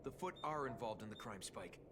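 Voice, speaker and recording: A man's voice: lowish voice, animated, brisk, very noisy, close to an everyday voice. A man voicing an earnest, responsible young mutant turtle who leads his team with commanding lines in a cartoon video game. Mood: serious.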